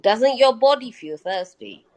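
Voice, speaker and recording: A woman's voice: a little high, lively intonation, a little slow. A woman doctor and lecturer, a guest in a live interview, explaining things patiently with many hesitant fillers. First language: not Hindi.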